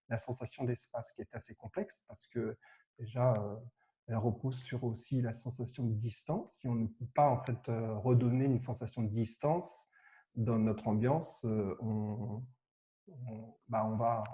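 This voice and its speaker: Mellow-voiced guy stammering through his answers on a video call. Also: mid-range voice. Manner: halting, stammers and restarts. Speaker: sound engineer on a panel. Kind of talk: technical panel discussion.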